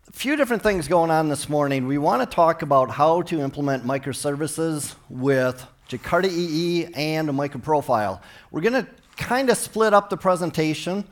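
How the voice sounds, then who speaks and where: A middle-aged man from the central United States giving a friendly, conversational technical talk on a conference stage. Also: on the high side. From Minnesota, United States. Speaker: conference speaker. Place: large conference hall stage.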